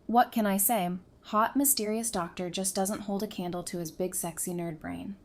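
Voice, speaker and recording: Sassy actress as a woman doctor, mid-pitched. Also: steady tone, normal speed, natural voice. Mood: playful teasing. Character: playful, sassy, warm-hearted woman doctor. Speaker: voice actor in a fan audio drama. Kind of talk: conversational audio drama acting.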